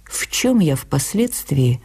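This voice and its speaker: An actress playing an earnest, wounded woman writer, narrating her memoir for radio in an expressive, confiding, deliberate manner. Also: sits low, husky, full character voice. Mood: reflective. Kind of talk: dramatized first-person literary narration for radio.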